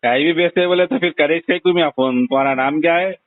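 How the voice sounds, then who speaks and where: Man, Hyderabadi accent, slightly high pitch, blunt, insistent, casual talk, thin phone-line recording. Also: quick pace, no room sound. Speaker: phone call recipient. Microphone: telephone. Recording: tinny narrowband phone call recording.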